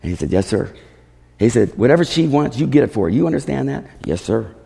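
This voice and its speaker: A man speaking as a missionary, giving a storytelling testimony to a congregation in an animated way, acting out dialogue. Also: medium pitch, quick pace, creaky, slightly rough. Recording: reverberant hall.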